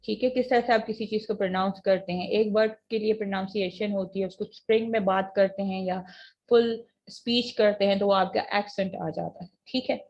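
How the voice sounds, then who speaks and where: A woman teaching an online class, explaining points in a mix of Hindi and English and reading definitions slowly off a slide. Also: mid-range voice, steady tone, a little mellow. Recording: dull lo-fi online class audio.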